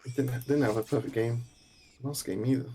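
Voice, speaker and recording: A man casting a game, calling plays quickly with dry quips between them. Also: medium pitch, steady tone, mellow, slightly rough. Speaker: esports caster. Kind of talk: play-by-play game commentary.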